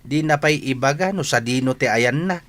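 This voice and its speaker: A man's voice: medium pitch, normal speed, fairly bright tone, natural voice. A man playing an indignant, hot-tempered village father in a radio drama, scolding emphatically. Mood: angry, exasperated.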